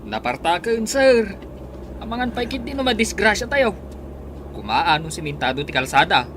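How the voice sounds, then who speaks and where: An actor playing an excitable, anxious driver who serves his boss in a radio drama. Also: sits high, normal speed, background noise. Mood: worried.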